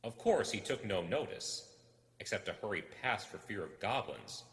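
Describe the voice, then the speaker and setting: A man narrating a storybook expressively, in a measured, dramatic delivery with deliberate pauses. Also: on the low side, expressive, a bit bright. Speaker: audiobook reader. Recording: roomy echo with long reverb.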